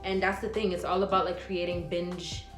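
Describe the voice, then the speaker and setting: Young woman in her 20s, lowish voice, rambling casual vlogger delivery, echoey room. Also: little pitch movement, normal speed. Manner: chatty, rambling, many "like" and "um" fillers. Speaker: nurse and vlogger. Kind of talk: casual Q&A vlog, reading viewer questions. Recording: echoey room with faint music.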